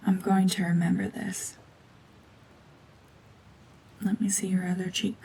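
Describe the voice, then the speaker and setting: A woman acting a cold, domineering mutant witch in an ASMR roleplay drama, hushed and often whispering, with terse, guarded commands. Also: medium pitch, flat delivery, a little slow, vocal fry, a little hiss or hum, poor audio. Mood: guarded, softening. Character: renegade mutant cyborg gorgon witch, female, cold, domineering, slowly softening.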